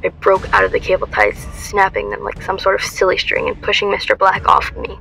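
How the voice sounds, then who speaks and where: A woman's voice: medium pitch, sing-song, brisk, gravelly, sharp tone, full character voice, low-quality recording. A woman playing a traumatized young woman who narrates her violent awakening in an audio drama. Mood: intense. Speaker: lead actress and narrator.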